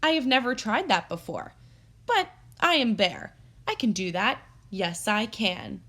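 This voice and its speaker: A woman voicing a big, boastful, stubborn bear in a children's storybook, making loud, emphatic, chanting declarations. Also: a little high, expressive, a little slow, full character voice. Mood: proud, boastful. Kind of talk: children's storybook read-aloud.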